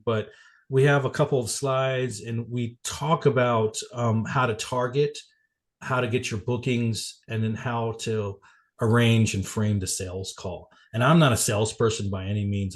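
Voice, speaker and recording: Congested man presenting on a video call. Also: mid-range voice. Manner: rambling, halting, many fillers and restarts. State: congested from a cold, coughing. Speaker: guest presenter at a networking meeting. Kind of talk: casual business presentation.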